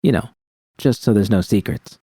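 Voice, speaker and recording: A man's voice: fairly low pitch, moderate pace, dark tone, husky, dry recording, clean studio sound. A man voicing an affectionate, salty-tongued young woman with a casual, slangy way of talking, in audiobook fiction. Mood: playful, loving.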